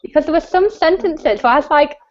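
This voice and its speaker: A woman's voice: sits high, animated, a little fast, darkish tone. A woman hosting a casual book chat, talking in a conversational, hesitant way with plenty of filler phrases. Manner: hesitant, conversational, many "like" and "kind of". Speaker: live show host discussing books. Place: video call live stream. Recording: dull lo-fi online call audio.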